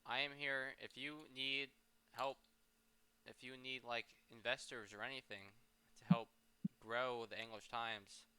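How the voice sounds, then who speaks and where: Young guy interviewer, bright crisp voice, keeps cracking up. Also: mid-range voice, steady tone, unhurried, rough recording. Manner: laughs a lot, loose and joking. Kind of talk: casual interview questions.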